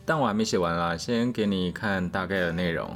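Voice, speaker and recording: A man's voice: deep voice, brisk, a bit bright, faint music behind. A man with a Taiwanese Mandarin accent playing a whiny, flustered young dorm resident in a podcast radio drama. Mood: frustrated, hopeful.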